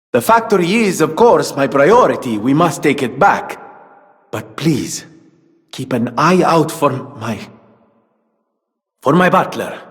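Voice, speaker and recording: An actor playing a wealthy, vain inventor and philanthropist in a video game, with pompous, flowery speech that turns hesitant when he is flustered. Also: a little high. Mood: anxious, earnest. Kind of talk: theatrical video game voice acting. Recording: long reverberant hall.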